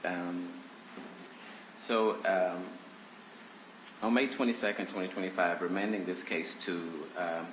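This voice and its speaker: Low-voiced man, congested, halting courtroom argument, forceful tone, roomy dull recording with faint hiss. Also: fairly even intonation. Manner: halting, many "um" and restarts, earnest. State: congested, deeper from allergies. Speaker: plaintiff arguing his own motions. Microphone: courtroom microphone on a stand.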